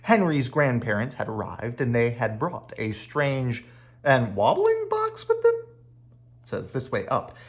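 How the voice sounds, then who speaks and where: Children's read-aloud narrator: mid-pitched, leisurely, highly varied delivery, playful and dramatic. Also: huge pitch swings, unhurried. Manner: dramatic, with playful sound words. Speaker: children's book reader. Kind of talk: animated read-aloud storytelling.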